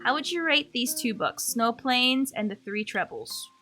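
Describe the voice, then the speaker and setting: Woman reading as a bright-voiced, bookish petitioner. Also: on the high side, expressive, unhurried, faint music behind. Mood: polite, earnest. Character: polite, bookish, slightly condescending newspaper worker.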